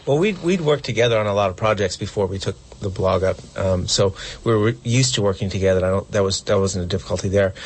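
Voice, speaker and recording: Matter-of-fact man, low voice. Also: low-quality recording. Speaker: guest author and blogger. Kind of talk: conversational interview answers.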